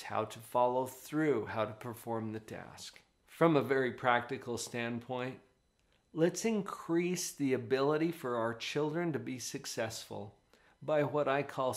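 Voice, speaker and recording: A man giving parenting advice straight to camera in an office, with a conversational, emphatic and animated delivery. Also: medium pitch. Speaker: parenting coach.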